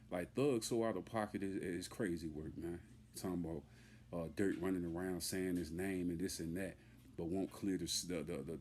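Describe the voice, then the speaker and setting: A man's voice: deep voice, darkish tone, a little hiss or hum. A man giving an unscripted, emphatic and indignant opinion rant as a hip-hop commentator, repeating himself and using street slang.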